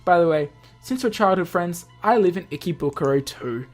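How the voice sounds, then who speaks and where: Low-pitched woman reading a story aloud. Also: animated, moderate pace, darkish tone, faint music behind. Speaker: let's-play host reading a visual novel aloud. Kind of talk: expressive read-aloud narration.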